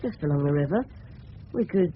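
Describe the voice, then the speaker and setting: Keen, well-mannered English schoolboy played by a man, breaking voice with lively swings, dull old radio sound. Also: fairly high pitch, brisk, natural voice. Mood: keen, persistent. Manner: polite, boyish, keen. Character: polite, persistent teenage schoolboy with breaking voice. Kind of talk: 1970s radio drama dialogue. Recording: muffled, faintly hissy old radio broadcast.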